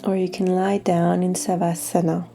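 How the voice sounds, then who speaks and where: A woman leading a guided yoga nidra meditation in a soft, gentle, unhurried way, with long pauses and phrases that trail toward breathiness. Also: lowish voice, level tone, gravelly, faint music behind.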